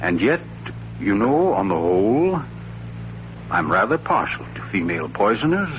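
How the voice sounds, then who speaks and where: Florid, animated taunting sleuth. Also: male voice, lowish voice, moderate pace. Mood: smug, relishing. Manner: florid, taunting rhetorical delivery. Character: suave, theatrical amateur detective, relishing his accusation. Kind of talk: 1940s radio thriller acting. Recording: dull noisy 1940s radio recording.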